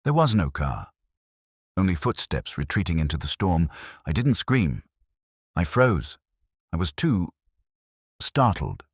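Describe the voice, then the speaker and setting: A man's voice: low-pitched, big pitch swings, unhurried, pauses often, slightly rough, dry recording, studio quality, heavily acted. A man voicing a tense, guarded society widow, a frightened woman, in an audiobook. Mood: shaken. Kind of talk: audiobook character dialogue.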